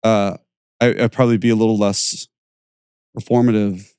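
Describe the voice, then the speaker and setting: A man with a lowish voice hosts a podcast conversation. He talks in an energetic, performative way, and the sound is close and dry. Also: moderate pace, smooth, dry recording. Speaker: podcast host and fitness teacher.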